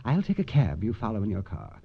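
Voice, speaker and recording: Evasive, scared client, 1940s radio drama. Stammering, very animated intonation, dull old-broadcast sound. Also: male voice, fairly low pitch, fairly quick, gravelly, breathy edge, full character voice. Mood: frightened, fussy. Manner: hesitant, stammering, jittery. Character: nervous, evasive male businessman, frightened and self-justifying. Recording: muffled vintage radio recording.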